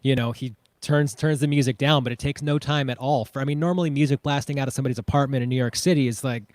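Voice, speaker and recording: A man hosts in a casual, conversational way. His voice sits at a medium pitch, with a very dry sound. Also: fairly even intonation, quick pace, a little mellow, dry recording. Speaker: festival livestream host. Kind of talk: casual chat.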